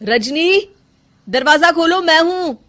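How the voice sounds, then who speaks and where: A woman's voice: extremely high pitch, lively intonation, slow, fairly bright tone, voice pushed up, heavily acted. A woman voicing a caring young husband in a story, with an earnest, questioning manner. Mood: concerned. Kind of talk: acted dialogue in Hindi moral story.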